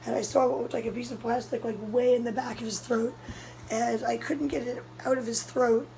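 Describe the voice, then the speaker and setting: A young woman with a hoarse voice, telling a story in a casual vlog, urgent and breathless, filmed close up on a phone in a small room indoors. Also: a little high, fairly even intonation, a little fast, mellow, a little hiss or hum, poor audio. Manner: breathless, urgent retelling of a scare. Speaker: cat vlogger. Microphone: phone camera held close, low angle.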